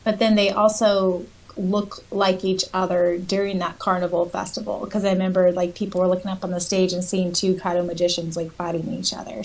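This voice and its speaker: A woman's voice: fairly low pitch, a little mellow, poor audio. A woman co-hosting a podcast, casually discussing a book and reading out passages from it. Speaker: podcast co-host.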